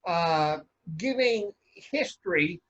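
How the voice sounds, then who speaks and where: Halting man on a dull video call. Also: sits high, animated, fairly bright tone. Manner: halting, repeats words. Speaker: table read participant giving feedback. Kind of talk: casual spoken feedback.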